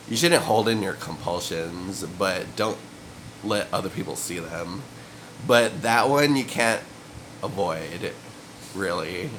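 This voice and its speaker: A young man talks to his camera at home in a low, stuffy voice, sniffling and clearing his throat now and then. His delivery is loose and meandering, padded with fillers. A faint hum of room ambience sits behind him. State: sniffly with a cold and cough. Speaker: vlogger. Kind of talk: rambling casual monologue to camera. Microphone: camera mic.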